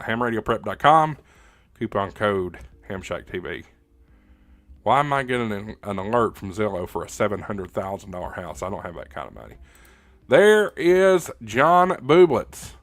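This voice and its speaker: Low-voiced American man, casual livestream host. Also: dry recording. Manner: informal, folksy, joking. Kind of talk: casual livestream chat reading viewer comments.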